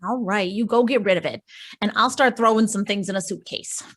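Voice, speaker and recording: An actress playing a sardonic, slangy American female crook in an old-time radio crime drama, quick with sarcastic wisecracks. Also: mid-range voice, natural voice. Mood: irritated, wry. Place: performed from home on a video call.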